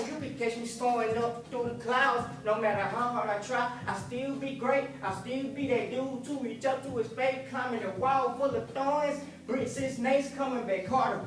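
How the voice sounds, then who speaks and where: A man's voice: high voice, recorded from a distance. Young man rapping in an echoey hall. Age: young adult. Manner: rhythmic rapping with sung hook. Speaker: graduate performing a rap. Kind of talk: rap performance. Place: large hall at a graduation ceremony.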